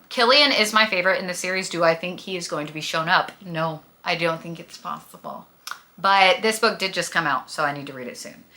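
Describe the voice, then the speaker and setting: A woman's voice: on the low side, bright, forceful tone. A young adult woman with a slight lisp, chatting casually and emphatically about books to camera in a gushing, dramatic way. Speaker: book vlogger and host.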